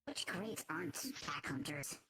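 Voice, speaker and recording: A woman's voice: low voice, lively intonation, a little slow, crowd noise. A woman chatting casually in character as a participant in an online group roleplay. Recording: loud background music. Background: music.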